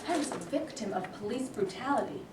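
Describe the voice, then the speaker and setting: A woman plays a fiery young activist who confronts the governor head-on. Her voice is fairly high and blunt, picked up from across an echoey room with faint hiss. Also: expressive, a little fast, far from the mic, lightly acted. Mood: defiant. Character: angry young woman activist, police brutality victim. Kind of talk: staged play reading.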